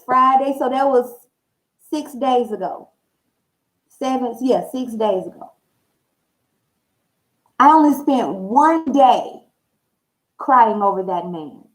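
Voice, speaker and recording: A woman's voice: high voice, poor audio. An American woman hosting a channel, talking casually and candidly to viewers in emphatic, salty slang. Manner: candid, emphatic storytelling with acted-out dialogue. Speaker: host of a tarot reading channel. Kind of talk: casual personal talk to viewers.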